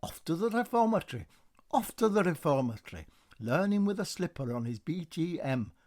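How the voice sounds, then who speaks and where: A man's voice: fairly high pitch, very animated, a little fast, darkish tone, voice pushed up. A man voicing scandalised, gossiping neighbour women in a scolding chant for a radio play. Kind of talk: radio play verse drama.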